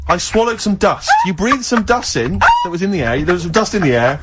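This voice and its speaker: Fast-talking English man, noisy radio recording. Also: high-pitched, wildly swooping pitch. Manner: teasing, incredulous, probing. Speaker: radio show co-host. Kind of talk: bantering radio chat. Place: radio studio.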